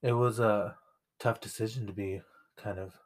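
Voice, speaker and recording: A man's voice: fairly low pitch, fairly even intonation, normal speed. A young man co-hosting a casual conversation, speaking reflectively with frequent fillers. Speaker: podcast co-host.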